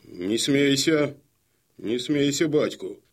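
An actor playing a proud, hot-tempered young Cossack son in a radio play, blunt and defiant. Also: male voice, medium pitch, lively intonation, takes it slowly.

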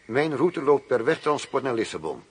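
An actor playing a cool, demanding arms buyer in a radio drama, formal, firm and self-assured. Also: male voice, medium pitch, very animated, normal speed, husky. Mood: firm, businesslike. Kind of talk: Dutch radio drama acting. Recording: noisy old radio drama recording.

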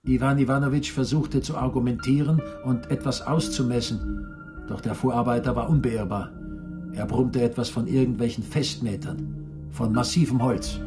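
An actor playing a resigned prisoner who narrates a radio play in a sober, measured way. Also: male voice, medium pitch, steady tone, music in the background, close to an everyday voice.

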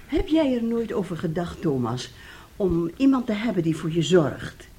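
A woman's voice: on the low side, very animated, normal speed, warm tone, a little hiss or hum. A middle-aged actress playing a bossy, scheming, self-pitying mother who coaxes and scolds in a radio play. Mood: persuasive.

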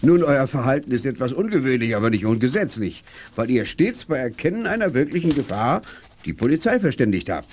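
An actor playing an authoritative, formal police officer in a children's radio play, brisk and firm. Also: male voice, mid-range voice, sing-song, a little mellow, slight background noise, natural voice. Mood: formal, approving.

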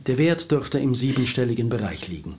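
Precise Swiss lawyer, measured legal phrasing. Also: male voice, medium pitch, very animated, darkish tone. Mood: formal. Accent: Swiss German. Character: formal Swiss lawyer man, precise and soothing. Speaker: lawyer reading a will. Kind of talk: radio drama acting.

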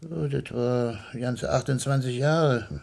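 Radio play: chatty older Berlin tradesman, rough fry in the voice, plain-spoken and dramatic. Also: medium pitch, steady tone, slow pace, darkish tone. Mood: chatty, stubborn. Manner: colloquial, rambling, dramatic storyteller. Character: chatty, stubborn, plain-spoken older retired baker, a hospital patient. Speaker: patient. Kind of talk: German radio play acting.

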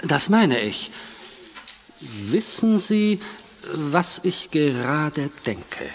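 An actor playing a courteous, formal bank director full of wonder in a German audio drama. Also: male voice, fairly high pitch, sing-song, extremely slow, a little hiss or hum, exaggerated voice. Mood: intrigued, puzzled.

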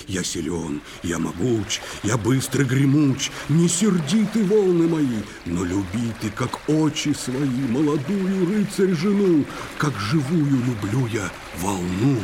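An actor playing a boisterous, mischievous and menacing water spirit uncle in a children's verse audio play, theatrical and sing-song. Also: male voice, medium pitch, big pitch swings, slow pace, few pauses, noisy background. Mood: menacing whisper.

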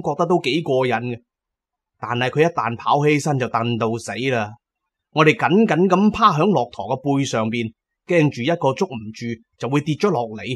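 A man's voice: medium pitch, slightly rough. A man narrating a first-person adventure story in a dramatic style.

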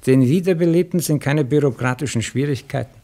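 An actor playing a jaded, bossy senior official in a radio drama, curt and condescending, with a Viennese accent. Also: male voice, medium pitch, a little fast, dark tone, natural voice. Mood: curt, grumbling. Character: grumbling, jaded senior male bureaucrat, bossy and cautious.

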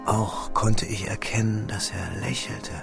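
Creaky low-voiced reflective male narrator. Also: level tone, a little slow, music in the background. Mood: quietly absorbed. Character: grown man quietly recalling his boyhood at fifteen. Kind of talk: literary radio drama narration.

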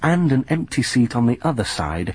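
An actor playing a chatty young reporter who tells a story in a lively, rambling way. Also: male voice, medium pitch, normal speed, slightly rough. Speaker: journalist.

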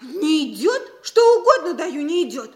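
An actress playing a contrary young provincial daughter in a theatrical radio play, petulant and coquettish. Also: female voice, high voice, big pitch swings, normal speed.

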